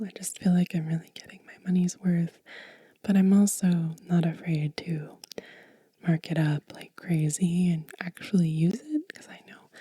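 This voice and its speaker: A woman hosting a soft-spoken ASMR show-and-tell, whispering gently and breathily at an unhurried pace. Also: on the low side, breathy edge, no room sound. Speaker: ASMR channel host.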